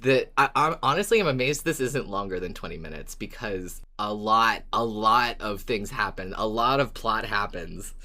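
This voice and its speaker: Podcast co-host, mid-pitched, wry and expressive, for recap and chat. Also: moderate pace, few pauses. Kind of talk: recap summary and casual discussion.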